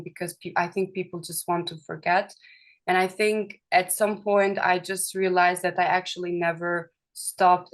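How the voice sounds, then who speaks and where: A young woman from Kosovo, a film director, talking in an interview with many hesitations and restarts. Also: lowish voice. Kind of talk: festival interview.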